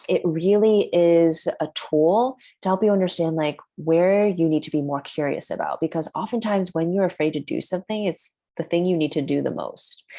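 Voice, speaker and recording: A woman's voice: fairly low pitch, darkish tone. A woman whose first language is Chinese, hosting a workshop in a chatty way, with lots of "like". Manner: chatty, heavy use of "like". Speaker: workshop host. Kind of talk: casual workshop talk.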